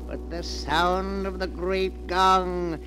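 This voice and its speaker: Very slow, high-pitched sinister storyteller. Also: male voice, little pitch movement. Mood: sly, teasing. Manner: theatrical, drawn-out, gleefully menacing. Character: sinister cackling old male keeper of a book of horror tales. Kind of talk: 1940s radio horror narration. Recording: noisy 1940s radio recording.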